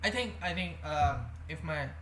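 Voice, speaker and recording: A man's voice: a little high, animated, moderate pace, fairly bright tone. A young man, talking hesitantly to the camera in a rambling confessional vlog, full of fillers and restarts. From India. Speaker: vlogger. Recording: echoey room with faint background hum.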